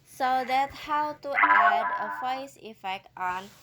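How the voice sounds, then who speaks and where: Female tutorial host, higher-pitched voice, slightly halting non-native phrasing, step-by-step app instruction. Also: heavy background noise. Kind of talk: spoken app tutorial with simple scripted intro and outro.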